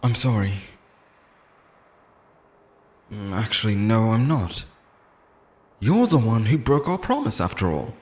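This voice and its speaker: A man's voice: lowish voice, very animated, moderate pace. A man playing an obsessive, lonely immortal lover in an intimate, theatrical roleplay monologue, pleading and possessive, moving between tenderness and menace. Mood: bitter, menacing.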